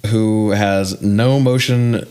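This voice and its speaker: A man's voice: fairly low pitch, fairly even intonation, extremely slow, darkish tone, clean tone. A man in his forties co-hosting a casual movie podcast, chatting in a slangy, joking, mildly profane way. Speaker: podcast co-host.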